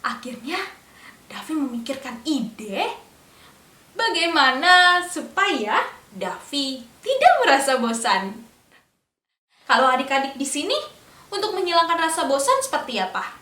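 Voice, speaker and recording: A woman's voice: high-pitched, big pitch swings, bright, forceful tone, recorded from a distance. Sing-song woman storyteller for young children. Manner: slow sing-song delivery, asks the children questions. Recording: echoey room, a bit off-mic.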